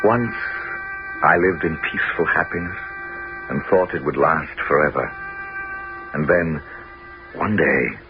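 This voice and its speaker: A man's voice: extremely low pitch, unhurried, pauses often, over music. A man voicing Ulysses, a crafty heroic king, in a theatrical 1970s radio drama style. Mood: solemn. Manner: theatrical, declamatory. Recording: dull lo-fi 1970s radio broadcast.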